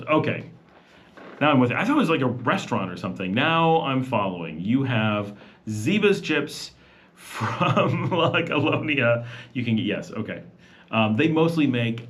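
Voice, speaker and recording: A man's voice: medium pitch, a bit bright. A man hosting a casual evening livestream from home, chatting loosely and reading viewers' comments aloud. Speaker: livestream host. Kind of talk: casual live Q&A chat.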